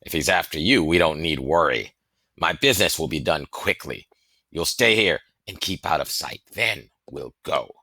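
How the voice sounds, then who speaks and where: Guy doing a big gruff storybook fella, blustery and bossy but fond, deep voice, super dry sound. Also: expressive, moderate pace, pushed and bright, no room sound, exaggerated voice. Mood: dismissive. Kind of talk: storybook character voice in audiobook reading.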